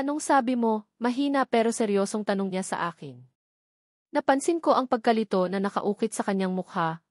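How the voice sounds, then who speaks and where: A woman's voice: mid-range voice, darkish tone, dry recording, studio quality. A woman with a Filipino accent narrating a romance novel as a dramatic scripted audiobook.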